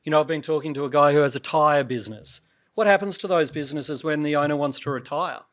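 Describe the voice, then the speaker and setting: Brief: male CEO, slightly higher voice, a bit croaky, pitching off the cuff with lots of "um"s and restarts. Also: fast. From Australia. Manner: loose, filler-heavy, with many restarts. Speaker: startup CEO pitching to investors. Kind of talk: conference pitch talk. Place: on stage at an investor event.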